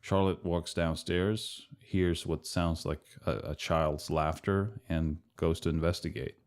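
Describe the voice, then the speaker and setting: A man with a very low-pitched voice talks through a film as its director. His delivery is measured and matter-of-fact, explaining choices plainly. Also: steady tone, darkish tone, clean tone. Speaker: film director giving commentary.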